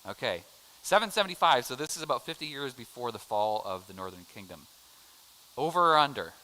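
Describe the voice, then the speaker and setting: A man's voice: medium pitch, animated, fairly quick, bright, forceful tone, low-quality recording. A middle-aged American man teaching a casual adult Bible class, chatty and joking as he quizzes the room. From United States. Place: church classroom.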